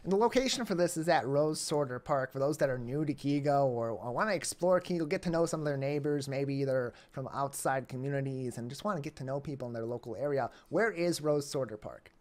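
A young man hosting a local community TV interview over a video call, with a clear, announcer-like delivery. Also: medium pitch, brisk, hardly any pauses, darkish tone. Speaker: interview host. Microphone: webcam or laptop mic on a video call.

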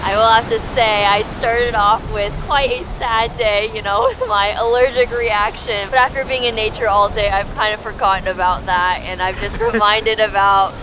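A woman's voice: mid-range voice, steady tone, moderate pace, few pauses, background noise. A young woman chatting enthusiastically on a travel vlog, giggling often as she describes food and her day. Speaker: travel vlogger. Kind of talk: casual travel vlog chat. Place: cozy restaurant and camper van. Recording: background music under voice.

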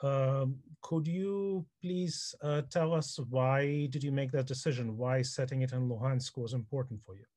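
A man's voice: mid-range voice, a little mellow. Middle-aged man moderating on a video call. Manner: measured questions with fillers. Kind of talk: online Q&A interview. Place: home study with bookshelves, indoors.

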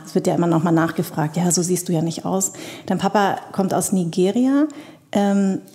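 A woman's voice: lowish voice, warm tone. A woman in her forties from Germany with a light Hessian accent, hosting an interview podcast and asking questions thoughtfully. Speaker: podcast host. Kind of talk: scripted intro, then interview.

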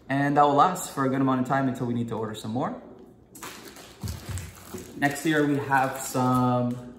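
A man's voice: mid-range voice, echoey room, a little hiss or hum. A man in his twenties hosting a casual food vlog from his home kitchen, chatty and enthusiastic, with many "um"s. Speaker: food vlogger, guest host.

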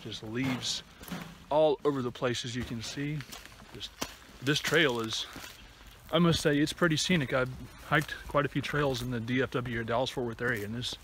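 A man in his thirties records a casual hiking vlog outdoors on a handheld camera. His mid-pitched voice rambles along haltingly as he walks, with clear ambient noise behind him. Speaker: hiking vlogger. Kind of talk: casual vlog chat to camera while walking. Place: outdoors on a wooded trail and open meadow. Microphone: phone or camera at arm's length.

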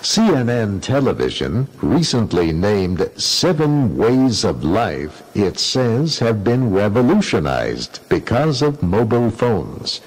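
A man reads a scripted news report in a measured broadcast delivery. His voice is husky and fairly low. His intonation rises and falls in a lively way. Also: poor audio. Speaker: radio news reader.

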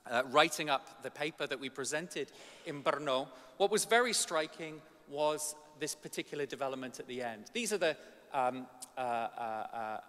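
A man's voice: mid-range voice, moderate pace, pushed and bright. A middle-aged man giving a conference lecture, with frequent hesitant ums as he goes. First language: English. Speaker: academic conference speaker. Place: large ornate hall indoors. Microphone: gooseneck podium microphone. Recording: reverberant large hall.

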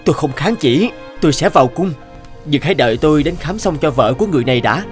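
A man's voice: on the high side, expressive, fast talker, natural voice. A man voicing an earnest, humble village healer. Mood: polite, firm. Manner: polite, earnest, pleading. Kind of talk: animated moral tale dubbing. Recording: music behind the dialogue.

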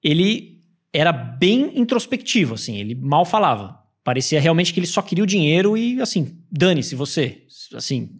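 A man's voice: on the high side, moderate pace, slightly rough. A man in his thirties, a guest on a podcast, telling stories and acting out the people he quotes, speaking into a studio mic. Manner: animated storytelling, acts out quoted people. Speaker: podcast guest, Airbnb host. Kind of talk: casual podcast chat. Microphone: studio mic with headphones.

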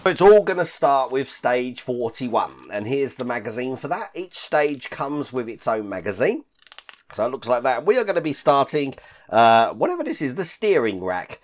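Hoarse, husky-voiced man in his fifties, hobby-channel host, animated rise and fall as he explains each step. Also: moderate pace, slight background noise. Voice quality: deep rough voice with occasional coughs. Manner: chatty, explains each step while handling parts. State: hoarse, croaky voice after illness. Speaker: model-building channel host. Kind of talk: casual hands-on build walkthrough. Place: home hobby room full of collectibles.

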